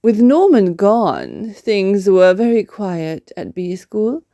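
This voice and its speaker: A woman narrates a children's picture book with great expression. Her reading is very slow and deliberate, her pitch animated, and her tone soft and mellow. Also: no room sound. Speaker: storyteller.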